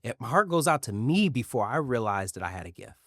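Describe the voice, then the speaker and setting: A man's voice: medium pitch, very animated, fast, a bit bright, dry recording, studio quality. Earnest man hosting a podcast. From United States. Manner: earnest, emphatic, repeats words. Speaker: podcast host. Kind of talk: casual interview chat.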